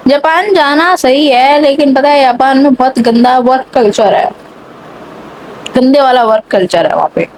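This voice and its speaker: Sarcastic high-voiced young woman vlogger. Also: normal speed, a little hiss or hum, low-quality recording. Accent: mixed English accent picked up online. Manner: sarcastic, chatty, rambling. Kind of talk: casual rant to camera.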